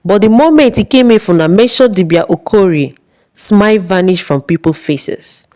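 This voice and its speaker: A woman tells a dramatic folktale in a solemn, suspenseful manner. Her voice is mellow and a little low, and it rises and falls a great deal as she moves at a measured, unhurried pace. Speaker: storyteller.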